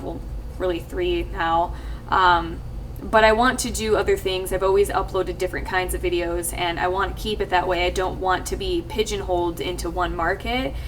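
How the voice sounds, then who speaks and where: A young woman talking casually to her viewers, rambling with lots of fillers and restarts, her voice a little husky. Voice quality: slightly husky, low-ish voice. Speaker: YouTube let's player. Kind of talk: casual talk to camera. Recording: distant mic with faint ambient noise.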